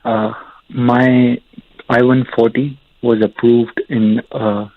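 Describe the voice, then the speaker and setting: A man from Pakistan calling in to a show, asking a question in a hesitant, halting, polite way. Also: mid-range voice, flat delivery, no echo. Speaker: caller. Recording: tinny phone line.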